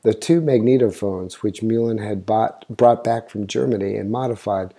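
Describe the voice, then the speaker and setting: Dark-voiced man narrating history. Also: lowish voice, normal speed, husky. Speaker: host and narrator of an audio history show. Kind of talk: scripted narration, read aloud.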